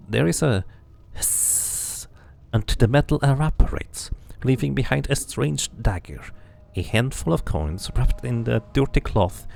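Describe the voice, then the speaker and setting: A man's voice: mid-range voice, vocal fry, a little mellow. A man doing a casual game playthrough, musing to himself and to viewers and reading narration aloud.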